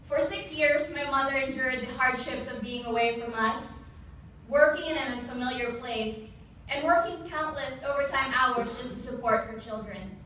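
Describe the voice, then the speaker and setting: A young woman from the Philippines, a Tagalog speaker, gives a measured prepared speech as an Army officer. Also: high-pitched, sharp tone. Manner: measured, reads from a prepared text. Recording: echoey hall, distant mic, faint room noise.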